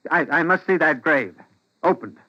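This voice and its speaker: An actor playing a frantic, obsessed husband, stammering and insistent, in the intense style of 1940s radio drama. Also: male voice, fairly high pitch, animated, normal speed, bright, forceful tone, no room sound. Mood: desperate insistence. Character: frantic, obsessed young husband refusing to believe. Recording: dull vintage 1940s radio recording.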